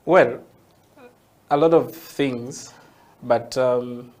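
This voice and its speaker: Ugandan guy, guest on interview, explains things slow and patient, keeps saying yeah?. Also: mid-range voice, a little mellow. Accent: Ugandan English. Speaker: guest, tech entrepreneur.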